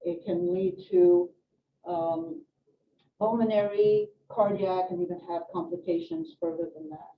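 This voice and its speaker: A woman's voice: mid-range voice, measured pace. A middle-aged woman, a nurse educator giving a chatty, informal talk with many fillers to a live audience in a hospital meeting room. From Sweden and France. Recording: echoey room, distant mic, dull sound, faint noise.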